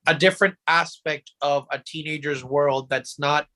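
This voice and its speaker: A man's voice: on the high side, fairly even intonation, normal speed, bright, forceful tone. A man hosting a casual podcast chat, often repeating his phrases for emphasis. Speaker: podcast host.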